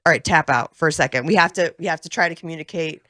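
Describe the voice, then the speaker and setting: Snarky woman with a low voice. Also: fast, pushed and bright, dry recording, studio quality. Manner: snarky, sarcastic, laughs often. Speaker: comedian guest. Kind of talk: casual radio chat. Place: radio studio.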